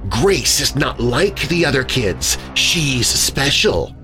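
Male narrator as woman, raised pitch, falsely soothing, sneering, deranged, horror audiobook, faint music. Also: sing-song, moderate pace. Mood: sneering menace. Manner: falsely soothing, hissing menace. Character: sinister rural wife, soothing, sneering, coldly deranged.

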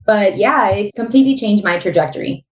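A woman's voice: mid-range voice, animated, a little fast, echoey room, low-quality recording. A woman in her thirties with a Miami accent, a guest telling her story openly in a casual interview. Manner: open, candid storytelling.

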